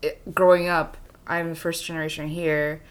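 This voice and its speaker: Low-pitched young woman chatting casually. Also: level tone, normal speed. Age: young adult. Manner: peppers talk with "like", acts out quoted reactions. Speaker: podcast co-host. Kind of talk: casual chat.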